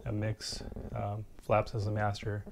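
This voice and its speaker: Guy walking thru a diy build in his workshop, casual, kinda halting, lots of vocal fry. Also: lowish voice, monotone, background noise. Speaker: instructor, model glider expert. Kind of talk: hands-on workshop demonstration. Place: small indoor hobby workshop.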